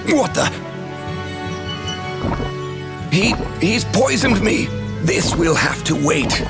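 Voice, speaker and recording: A theatrical, slow-talking villainous sorcerer. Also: male voice, a little high, big pitch swings, gravelly, over loud music, exaggerated voice. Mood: panicked. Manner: theatrical, mock-polite. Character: scheming villainous sorcerer, smooth and menacing. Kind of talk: theatrical video game acting.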